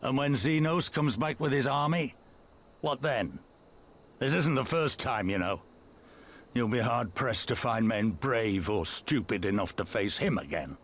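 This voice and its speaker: An actor playing a weary, stern older rebel leader in a game cutscene, speaking gravely and bitterly. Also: male voice, mid-range voice, animated, unhurried, a bit bright. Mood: doubtful. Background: orchestral game score.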